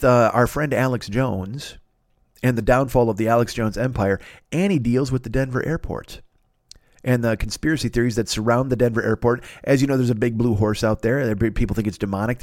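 A man hosting a podcast in a rambling comic monologue, loud-mouthed, digressive, self-deprecating and freely swearing. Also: medium pitch, fairly quick, no room sound, studio quality. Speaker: podcast host.

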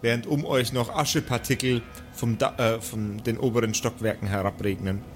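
A man runs an improvised pen and paper game. He narrates in an average-pitched voice with a playful showman's delivery. Soft music sits behind him. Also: fairly even intonation, moderate pace. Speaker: game master. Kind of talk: improvised tabletop role-play narration. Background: faint background music.

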